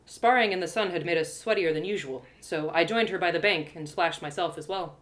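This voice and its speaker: A woman's voice: lowish voice, brisk, a bit bright. A woman storyteller reading scripted first-person fantasy narration expressively. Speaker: storyteller, author reading live.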